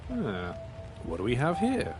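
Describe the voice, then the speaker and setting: A man voicing an inquisitive, mannered pig detective in a video game, musing in drawn-out, politely curious remarks. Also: mid-range voice, wildly swooping pitch. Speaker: game character. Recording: loud music behind the voice. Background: loud game music.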